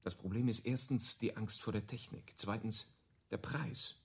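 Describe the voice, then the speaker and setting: Glib ad copywriter, husky voice. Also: male voice, on the low side, fairly even intonation, moderate pace, exaggerated voice. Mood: matter-of-fact. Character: glib male ad copywriter tossing out slogans. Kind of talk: naturalistic radio drama dialogue.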